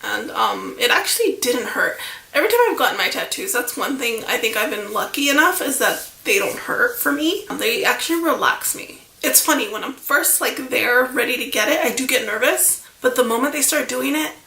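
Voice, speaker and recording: A woman's voice: on the high side, expressive, normal speed, creaky, sharp tone. A woman in her thirties telling a casual storytime on her channel, chatty and rambling, with a stuffy voice from a cold. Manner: rambling, chatty, with fillers like "like" and "you know". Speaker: vlogger. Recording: echoey room.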